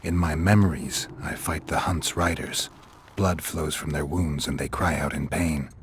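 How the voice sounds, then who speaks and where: An actor playing a stoic monster hunter in a video game, low and gravelly, with a laconic, deadpan, dry delivery. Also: male voice, measured pace, slight background noise. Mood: brooding.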